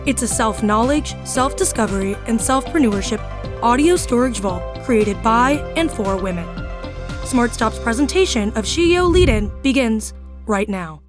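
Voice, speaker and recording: A woman announcer with a somewhat high voice reads a polished, upbeat promo. A clear music bed plays under her the whole time. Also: expressive, moderate pace. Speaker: sponsor ad announcer. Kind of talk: scripted promo read over music.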